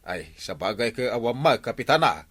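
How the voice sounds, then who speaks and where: A man playing an anxious village man in a radio comedy drama, asking worried questions quickly. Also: mid-range voice, big pitch swings, a bit bright.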